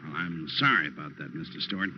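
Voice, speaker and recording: An actor playing a gruff, dedicated police lieutenant under strain, with an American accent, in an old radio crime drama. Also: male voice, a bass voice, expressive, fast talker. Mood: subdued. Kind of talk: 1940s-50s radio crime drama acting. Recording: muffled, noisy old radio recording.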